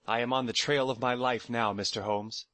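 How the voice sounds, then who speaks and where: A man playing a quiet, businesslike young American detective, matter-of-fact and determined, in an audiobook story. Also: medium pitch, steady tone, normal speed, no room sound, close to an everyday voice.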